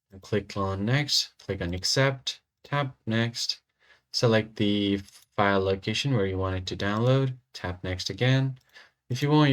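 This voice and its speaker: A man narrating a step-by-step software tutorial in an informal, instructional way. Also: lowish voice. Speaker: tutorial host.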